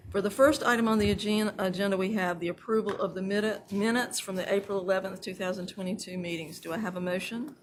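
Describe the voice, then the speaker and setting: A woman chairing a county planning commission meeting, reading formal opening remarks into a desk microphone. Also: medium pitch. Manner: casual and self-deprecating off-mic, then formal reading of the opening. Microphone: desk microphone that cuts out. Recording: noisy meeting room audio.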